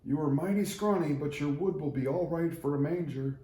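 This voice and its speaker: A man's voice: on the high side, brisk, warm tone, pitched above the performer's own voice, lightly acted. A man voicing a kindly little old farmer in a children's storybook, folksy, hearty and plain-spoken. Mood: brisk, hearty. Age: older. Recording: roomy echo.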